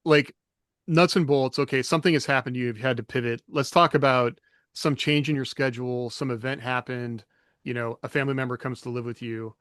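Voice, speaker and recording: A man hosting a podcast panel in casual chat, relaxed as he puts open questions to the group. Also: medium pitch, brisk, no echo, studio quality. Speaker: podcast host.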